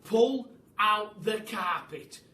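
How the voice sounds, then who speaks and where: A man voicing an excitable monkey father who barks impatient orders in a children's storybook reading. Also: sits high, extremely slow, pitched above the performer's own voice, full character voice. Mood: snappy, commanding. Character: bossy, frantic monkey father leading a scheme. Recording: roomy, distant mic with faint noise.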